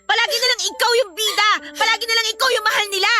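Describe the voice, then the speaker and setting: Irritable young Filipina elder sister: bright, forceful, very high voice, blunt and exasperated radio-drama delivery. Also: female voice, steady tone, racing, faint music behind, heavily acted. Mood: angry, bitter.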